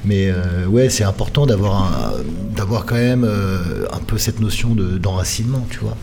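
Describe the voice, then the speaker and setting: A man's voice: lowish voice, moderate pace, gravelly, a little mellow, a little hiss or hum. A middle-aged man from the Paris region, answering radio interview questions conversationally and often searching for words. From Paris region, France. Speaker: handball coach being interviewed. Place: radio studio.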